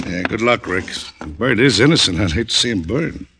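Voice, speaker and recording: A man's voice: on the low side, lively intonation, moderate pace, noisy background. A man playing a blunt, skeptical, bantering police lieutenant in an old-time radio drama. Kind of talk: 1940s-50s radio detective drama acting.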